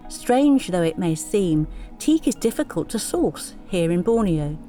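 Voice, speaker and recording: A woman's voice: fairly low pitch, very animated, unhurried, warm tone. A woman reading a scripted voice-over as narrator of a sailing vlog. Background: faint music.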